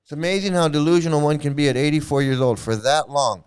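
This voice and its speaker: A man's voice: a little high, steady tone, fast, darkish tone, smooth. A middle-aged man ranting in casual commentary, profane and scornful, repeating words and trailing off into restarts. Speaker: commentary channel host.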